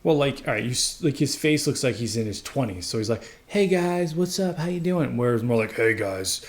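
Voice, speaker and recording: A man's voice: mid-range voice, animated, brisk. A man casually commentating over his gameplay, rambling and chatty with lots of fillers. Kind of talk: casual let's-play commentary.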